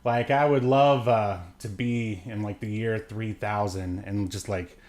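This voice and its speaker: A man co-hosting a podcast, talking casually and haltingly about entertainment news, often chuckling mid-sentence. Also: on the low side, steady tone, darkish tone. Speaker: podcast co-host. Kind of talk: casual chat, movie news commentary.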